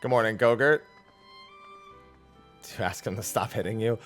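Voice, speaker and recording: A man giving casual live commentary on a game playthrough as a streamer, joking, reactive and excitable. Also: on the low side, moderate pace, faint music behind.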